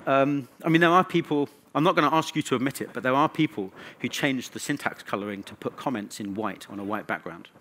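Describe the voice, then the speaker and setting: Male conference presenter, mid-pitched voice, informal and conversational delivery with dry, wry asides. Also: brisk, hardly any pauses, fairly bright tone, a little hiss or hum. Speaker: conference speaker. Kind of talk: conference talk.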